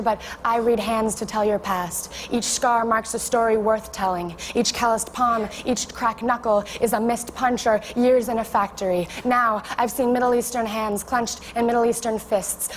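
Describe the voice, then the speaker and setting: A young woman performing a spoken word poem in a tender, measured storytelling cadence. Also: on the high side. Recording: echoey hall with faint noise.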